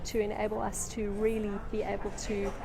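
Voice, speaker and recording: A woman's voice: mid-range voice, completely flat delivery, a little fast, vocal fry, a crowd in the background. A middle-aged woman with a South African accent, a leadership coach speaking earnestly in long fluent sentences in an event interview. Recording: noisy event floor.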